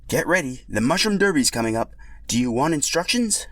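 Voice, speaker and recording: A man voicing an excitable little mushroom-headed race announcer in a peppy, announcing manner for a story. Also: fairly high pitch, brisk, no room sound, pitched above the performer's own voice.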